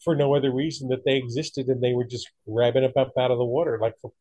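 A man's voice: medium pitch, flat delivery, quick pace, dark tone, breathy edge, dry recording. Man chatting casually, opinionated, dry close sound. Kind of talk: casual chat.

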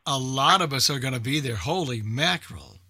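A man's voice: mid-range voice, animated, a little fast, bright, forceful tone, slightly rough. A man chatting casually in a group as a radio show host, talkative and folksy in his exclamations.

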